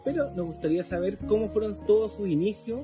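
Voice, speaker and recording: A man's voice: sits high, fast talker, over music. A man putting a prepared question as a guest questioner, in a lo-fi clip. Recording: dull lo-fi clip.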